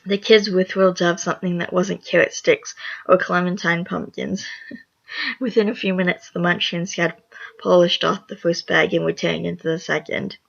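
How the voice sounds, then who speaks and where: Brief: hoarse, gravelly vlogger reading reviews aloud, mid pitch, some stumbles, dull lo-fi mic. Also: fairly even intonation, moderate pace, a bit bright. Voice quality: raspy. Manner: reads with small stumbles and self-corrections. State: hoarse after just waking up. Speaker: channel host.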